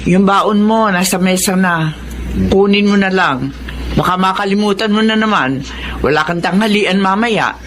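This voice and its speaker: An actress playing a caring, hardworking mother in a Tagalog radio drama, gently admonishing her family. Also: medium pitch, normal speed, slight background noise, natural voice. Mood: brisk, caring. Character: caring, hardworking mother who peddles fish.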